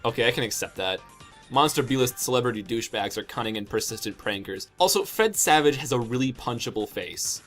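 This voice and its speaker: A man's voice: mid-range voice, animated, a little fast, fairly bright tone, faint music behind, lightly acted. A man voicing a cool, sarcastic, foul-mouthed teenage boy with a dry, slangy manner in an amateur comic dub. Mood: nonchalant.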